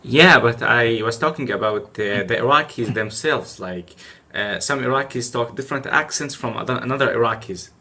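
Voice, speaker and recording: A young man whose first language is Arabic hosts a casual chat, pausing often with "uh" as he searches for words. His voice is bright and clear-edged at a middle pitch. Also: slight background noise. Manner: hesitant, with many "uh" pauses. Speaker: podcast host. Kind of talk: casual conversation.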